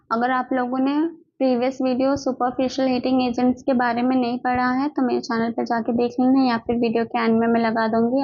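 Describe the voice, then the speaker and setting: A woman's voice: fairly high pitch, a little mellow. A woman with an Indian accent teaching a lesson, reading out notes and explaining them step by step, often repeating herself with little check-in tags. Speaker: physiotherapy teacher. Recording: dull lo-fi microphone sound.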